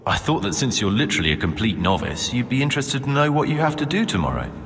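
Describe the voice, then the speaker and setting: A man's voice: medium pitch, sing-song, fast, fairly bright tone. An actor playing a bookish, prim novice monk in a game, articulate and slightly superior in his matter-of-fact talk. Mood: slightly miffed. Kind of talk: video game dialogue. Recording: reverberant hall with music behind.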